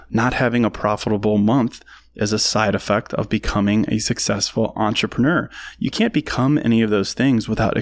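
Man, thirties, American accent, lowish voice, dry close sound, conversational, emphatic, motivational podcast monologue. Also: dry recording. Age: 30s. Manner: conversational, emphatic, repeats phrases for effect. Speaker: podcast host.

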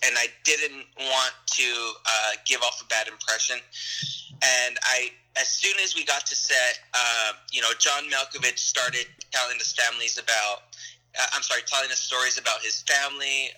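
A man's voice: medium pitch, sharp tone, rough recording. A young adult man, an actor, answering interview questions in a friendly, rambling way with frequent laughs and restarts.

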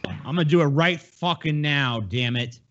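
A man's voice: a little high, normal speed, a bit bright, muffled recording. A man co-hosting a podcast with crude, profane, joking banter in casual talk. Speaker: podcast co-host.

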